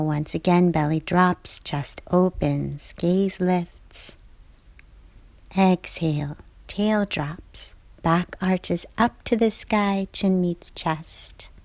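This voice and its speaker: A woman leading a guided yoga class, giving calm, measured cues in short phrases, with a soft voice that turns breathy at phrase ends. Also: lowish voice, no echo. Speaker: yoga instructor.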